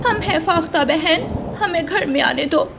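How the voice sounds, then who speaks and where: Cartoon crow wife, female actor, very high voice, whiny complaints, noisy ambience. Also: measured pace, creaky, heavily acted. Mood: pleading. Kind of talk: children's animated story voice acting.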